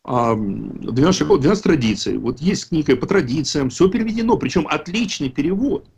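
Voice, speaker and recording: Man, 50s, husky, rambling and emphatic speaker at a recovery meeting. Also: a little high, expressive, a little fast, a little mellow. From Russian-speaking country. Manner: rambling, self-correcting, emphatic. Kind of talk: casual talk at an online recovery meeting.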